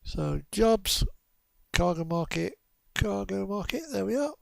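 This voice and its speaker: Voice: medium pitch, expressive, a little slow, vocal fry, no echo. A game streamer giving casual live commentary, thinking aloud and muttering through options.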